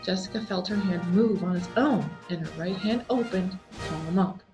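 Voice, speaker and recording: A woman reading a scary story aloud as a dramatic, expressive scripted narration full of suspense. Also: lowish voice, normal speed, faint music behind. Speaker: story reader.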